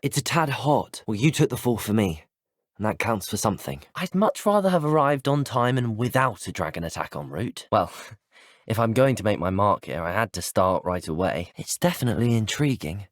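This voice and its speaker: A man's voice: lowish voice, sing-song, moderate pace, no room sound, clean studio sound. A young man with a British accent voicing a courteous, earnest teenage wizard in a video game, well-spoken and formal. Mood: eager, assured. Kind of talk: scripted video game dialogue.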